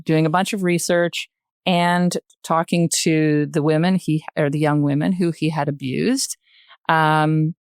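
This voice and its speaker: An older woman with a Canadian accent, a guest speaking reflectively in a podcast interview, hesitant and thoughtful, often pausing mid-sentence. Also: low voice, fairly even intonation, no echo, studio quality. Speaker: podcast guest, retired journalist.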